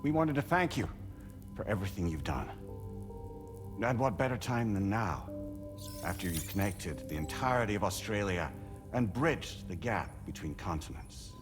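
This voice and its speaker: Game villain-style AI collective: grandiose, deliberate lecturer, deep register, very varied intonation. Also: male voice. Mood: grandly explanatory. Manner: formal, oratorical, deliberate lecturing. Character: calm, grandiose non-human AI collective posing as a man. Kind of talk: video game cutscene monologue. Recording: music under the voice.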